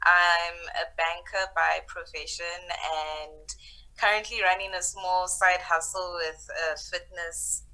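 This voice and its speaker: A woman in her twenties introducing herself quickly and with laughter on a video call, a little flustered. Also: fairly low pitch, steady tone, sharp tone, low-quality recording. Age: 20s. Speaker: guest. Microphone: laptop or phone on video call.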